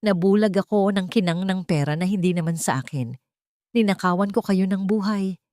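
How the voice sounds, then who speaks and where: A woman voicing a gaunt, remorseful male prisoner who pleads and apologises in a hoarse, broken voice. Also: high voice, moderate pace, mellow, no echo, clean studio sound, pitched above the performer's own voice, close to an everyday voice. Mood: contrite.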